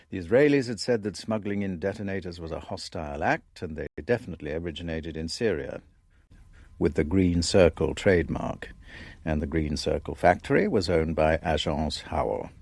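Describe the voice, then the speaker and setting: Deep-voiced, dry journalist narrator. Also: male voice, a little mellow, dry recording. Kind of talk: dramatised first-person narration.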